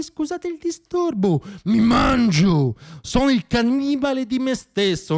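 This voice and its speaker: A man performing a theatrical radio monologue as a stage storyteller, reciting rhythmically and declaiming with dramatic pauses. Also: high-pitched. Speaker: solo stage actor and storyteller.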